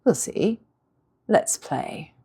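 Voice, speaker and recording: Audiobook villainess, vain and mocking, rich, smooth purr, acted by a female narrator. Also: very slow, warm tone. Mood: taunting. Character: vain, seductive villainess, smug and taunting.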